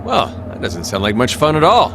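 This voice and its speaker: An actor playing a flamboyant, playful aesthete in a fantasy game, with a theatrical, flowery manner. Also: male voice, medium pitch, wildly swooping pitch, a little fast. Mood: breezy. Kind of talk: English video game cutscene acting. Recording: clear background noise, engine-like ambience.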